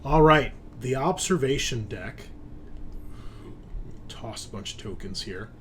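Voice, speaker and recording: A man's voice: medium pitch, expressive, slow pace, a bit bright, a little hiss or hum. A man acting as game master, casually narrating a tabletop roleplaying game.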